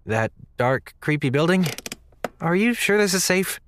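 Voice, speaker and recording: A man's voice: on the high side, sing-song, a little slow, a bit bright, a little hiss or hum. A man playing a naive, polite, awkward young man in an audio drama, earnest and hesitant with a stammer. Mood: considerate. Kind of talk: naturalistic audio drama dialogue.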